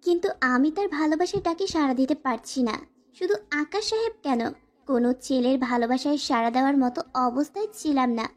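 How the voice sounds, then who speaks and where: Female actor as frightened young student bride, high voice, plaintive, pleading, first-person audio romance drama.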